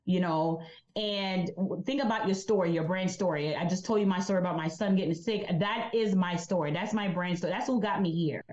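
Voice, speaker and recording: A woman's voice: on the low side, fairly quick, reverberant, highs cut off. A woman from Jamaica with a Jamaican accent, a business coach explaining her method as a guest on a podcast. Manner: energetic, explains step by step. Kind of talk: podcast interview.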